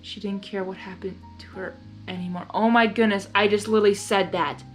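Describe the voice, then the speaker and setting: A woman reading fan fiction aloud with expressive narration and enthusiastic, chatty asides. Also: medium pitch, a little fast, faint music behind. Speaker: fanfiction reader.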